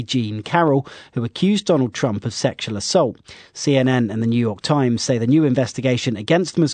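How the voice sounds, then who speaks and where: A man reads a radio news bulletin. His delivery is crisp and formal. The studio sound is dry and close. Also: mid-range voice, darkish tone, no room sound. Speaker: newsreader.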